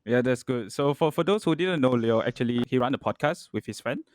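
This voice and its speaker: Friendly podcast host, dry close sound. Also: male voice, mid-range voice, animated, fairly quick, no room sound. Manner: friendly, hesitant, repeats words and fillers. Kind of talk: casual podcast conversation.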